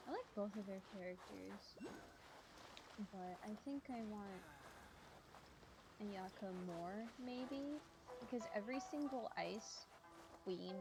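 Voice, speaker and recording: A young woman chats casually as a game streamer, at a medium pitch. She rambles along in an informal way, with music clearly playing behind her. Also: rough recording. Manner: chatty, rambling. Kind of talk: casual gameplay commentary.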